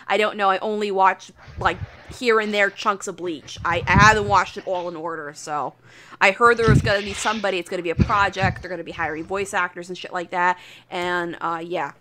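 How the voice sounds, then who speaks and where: A woman's voice: mid-range voice, background noise. A woman co-hosting a casual podcast, speaking hesitantly and apologetically, with casual swearing. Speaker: podcast co-host.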